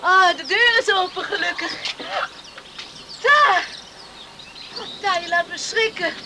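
An actress in a radio play playing a lively, playful young woman out with her sweetheart. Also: very high voice, takes it slowly. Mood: startled, nervous. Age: young adult. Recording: noisy outdoor scene in old radio play.

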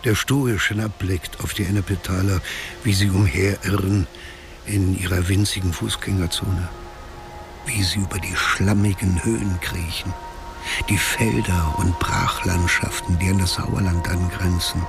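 Brooding narrator, deep-set creaky voice, ominous, literary radio drama, loud music. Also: male voice, fairly even intonation, unhurried. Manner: solemn, ominous. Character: brooding, solemn storyteller of a gloomy town.

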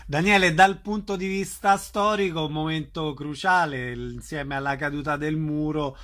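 A man's voice: on the high side, fairly even intonation, normal speed, fairly bright tone. A man working as a radio interviewer, putting questions to guests in a conversational way.

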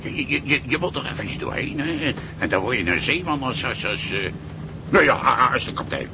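A man plays a fatherly ship's cook in a radio drama. He talks in a folksy, soothing manner with a pitch that swings freely, over clear rumbling noise. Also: expressive, normal speed, close to an everyday voice. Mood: comforting. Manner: folksy, reassuring, chuckling. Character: kindly, fatherly old-hand ship's cook. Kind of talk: Dutch radio drama acting.

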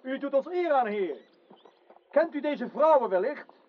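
A man's voice: very high voice, expressive, a little slow. A man playing a polite, deferential young prince and knight in a radio drama, speaking formally. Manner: formal, courtly. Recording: muffled old radio recording.

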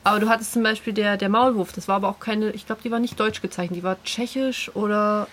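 A woman's voice: medium pitch, fairly even intonation, quick pace, a loud crowd. A woman talking casually and with strong opinions as a podcast co-host in a group chat. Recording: clear background noise, room ambience.

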